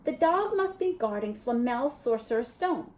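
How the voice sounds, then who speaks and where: A woman reader acts out a bossy, bookish schoolgirl witch from a storybook. The voice is high and swoops about, breathless, emphatic and impatient. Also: expressive, normal speed, darkish tone, natural voice. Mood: eager.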